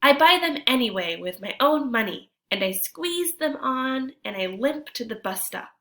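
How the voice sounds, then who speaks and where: A woman teacher reading a storybook aloud to children, expressively, pausing to ask her young listeners guiding questions. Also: sits high, lively intonation, bright, forceful tone.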